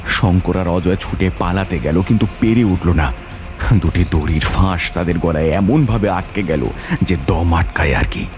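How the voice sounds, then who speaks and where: A man's voice: sits low, normal speed, over music. A man narrating an adventure story as a scripted, dramatic audio tale.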